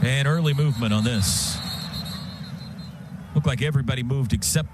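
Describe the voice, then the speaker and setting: A man's voice: medium pitch, moderate pace, very noisy. An American man calling live football play-by-play on the radio in clipped, present-tense phrases. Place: stadium radio booth. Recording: live radio broadcast, loud stadium sound behind.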